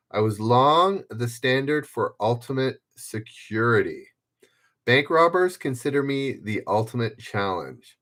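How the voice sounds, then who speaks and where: A man's voice: mid-range voice, fairly bright tone. A man hosting a trivia livestream, reading clues in the first person and chatting casually with viewers by name.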